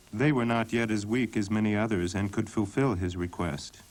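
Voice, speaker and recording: Low-pitched man, sober documentary narrator. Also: low-quality recording. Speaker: documentary narrator and voice-over translator.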